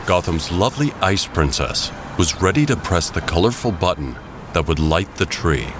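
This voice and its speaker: Very deep male story narrator. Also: noisy background. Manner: dramatic, deliberate storytelling. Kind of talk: scripted storybook narration for an audio drama. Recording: loud music bed behind the voice. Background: loud music.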